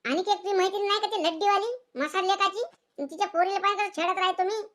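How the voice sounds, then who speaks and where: A very shrill, bratty cartoon schoolboy. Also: steady tone, fast, sharp tone. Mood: boastful threats. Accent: rural Maharashtrian Marathi. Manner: whining, boastful, threatening. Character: bratty, sulky, defiant village schoolboy son. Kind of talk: comedic cartoon skit dialogue.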